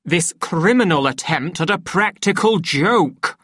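A woman's voice: low voice, animated, takes it slowly, no room sound, studio quality, pitched above the performer's own voice, heavily acted. A woman voicing a pompous, stern male director in an audiobook, speaking in a grandiose, oratorical and emphatic manner. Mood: outraged. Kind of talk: audiobook character acting.

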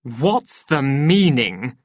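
A woman's voice: extremely low pitch, very animated, drawn-out words, no echo, studio quality, exaggerated voice. A woman voicing a pompous, stern male director in an audiobook, speaking in a grandiose, oratorical and emphatic manner. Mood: outraged. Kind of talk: audiobook character acting.

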